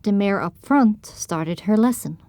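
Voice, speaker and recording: A woman's voice: medium pitch, expressive, a little slow, a little mellow, no room sound. A woman narrating an audio drama in the first person with a dramatic, scripted delivery.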